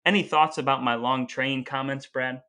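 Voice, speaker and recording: Man, podcast co-host, playful banter, average pitch, casual chat. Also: steady tone, normal speed, pushed and bright. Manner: playful, wordplay-loving.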